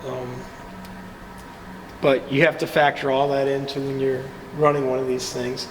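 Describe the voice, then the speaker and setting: A man's voice: mid-range voice, level tone, measured pace, long reverb tail, noisy background. A man getting over a cold gives a casual, plain-spoken review of a machine in his workshop, with lots of ums. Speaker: small-business maker reviewing his laser cutter.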